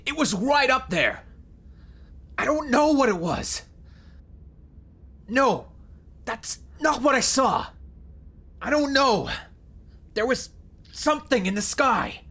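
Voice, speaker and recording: High, husky, agitated shouter. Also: female voice, sing-song, slow pace, pauses often, exaggerated voice. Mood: alarmed, insistent. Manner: shouting, insistent, distressed. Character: agitated, disturbed city member insisting on a sighting. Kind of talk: audio drama acting.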